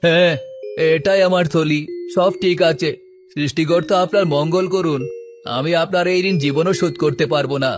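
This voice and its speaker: A man voicing a courteous, earnest gentleman, a devoted father in a cartoon moral tale. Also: on the high side, fairly even intonation, normal speed, lightly acted. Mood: grateful. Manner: polite, earnest. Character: anxious, grateful, courteous gentleman, father of a bride. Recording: music clearly behind the voice.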